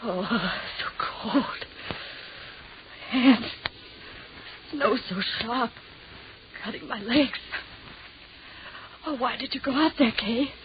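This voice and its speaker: A woman's voice: fairly high pitch, slow, pauses often. An actress playing a frightened, unhinged young woman in old-time radio horror, pleading in breathless repeated phrases. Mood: bewildered dread. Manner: breathless repetitive pleading, melodramatic. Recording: noisy, muffled old radio recording.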